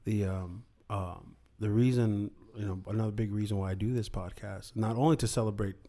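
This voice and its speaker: A man hosting a casual podcast interview, supportive and quick with affirmations. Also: on the low side, fairly even intonation, mellow. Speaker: podcast host.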